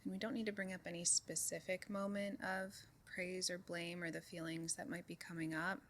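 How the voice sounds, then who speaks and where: A woman leading a guided meditation in a calm, soothing way, giving soft instructions with unhurried pauses. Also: fairly low pitch, slight background noise. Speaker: meditation group leader.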